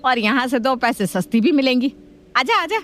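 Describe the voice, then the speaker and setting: A woman playing a doting, gullible grandmother with a homely, indulgent manner in an animated family story. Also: high voice, normal speed, slight background noise. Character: kindly, gullible, doting grandmother and mother-in-law.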